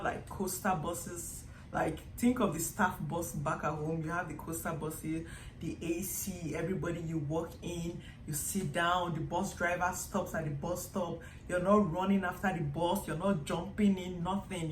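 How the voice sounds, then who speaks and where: A woman's voice: lowish voice, moderate pace. A young woman from Nigeria with a Nigerian accent, chatting casually to her vlog audience and acting out little quotes as she tells stories. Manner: chatty, animated storytelling with acted-out quotes. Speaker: vlogger. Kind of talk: casual vlog monologue to camera. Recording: echoey room with faint background noise.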